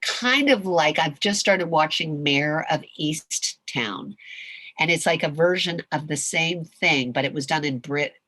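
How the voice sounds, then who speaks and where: This Canadian woman has a notably low voice and talks in an animated, rambling way, often restarting her sentences. She is a voice actress answering questions over a video call. Her audio is close and dry. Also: measured pace, no room sound. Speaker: voice actress guest. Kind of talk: casual chat in a video call Q&A.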